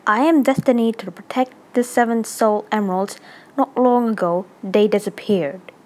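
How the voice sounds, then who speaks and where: A woman voicing a composed, serious young cat princess in an amateur comic dub, speaking in a measured, formal way. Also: on the high side, a little mellow, natural voice. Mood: composed, polite. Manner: measured, formal, deliberate delivery.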